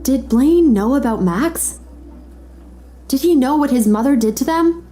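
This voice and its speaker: A woman's voice: high-pitched, expressive, unhurried, mellow. A woman narrating a dramatic scripted story.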